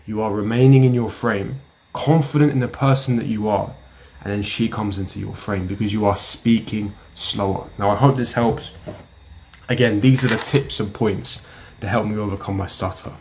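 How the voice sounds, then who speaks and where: Young guy vlogging advice, kinda low voice, blunt and pushy, keeps repeating himself. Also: fairly even intonation, moderate pace, a little mellow, a little hiss or hum. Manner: blunt, emphatic and repetitive, lecturing the viewer. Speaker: self-help vlogger. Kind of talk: direct-to-camera advice talk.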